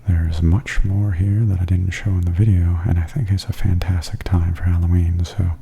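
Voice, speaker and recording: A man giving softly spoken ASMR tour commentary in a breathy half-whisper, calmly and gently describing things as a host. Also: low voice. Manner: calm, unhurried, gently descriptive.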